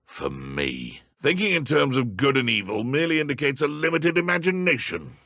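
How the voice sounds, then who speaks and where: A man with a British accent voicing a ruthless, sneering dark wizard in a video game, menacing and mocking. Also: mid-range voice, very animated, normal speed, creaky, no echo, studio quality, exaggerated voice. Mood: smug menace. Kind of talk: video game combat and idle voice lines.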